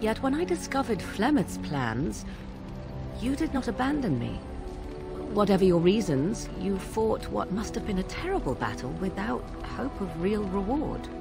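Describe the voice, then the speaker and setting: An actress voicing a proud, guarded young witch in a video game, with formal, archaic, measured speech. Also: medium pitch, sing-song, gravelly. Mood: earnest, guarded. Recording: music underneath. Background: music.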